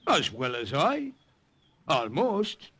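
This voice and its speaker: An actor playing a genial, kindly Irish missionary priest in an old radio drama, with a lilting manner. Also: male voice, a little high, wildly swooping pitch, takes it slowly, a bit bright, husky, heavily acted. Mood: genial, hearty. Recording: vintage radio recording.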